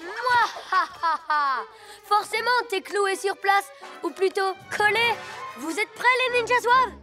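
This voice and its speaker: A woman voicing a boastful, mocking young troublemaker in a French animated cartoon. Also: extremely high pitch, measured pace. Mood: gloating. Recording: loud cartoon music underneath.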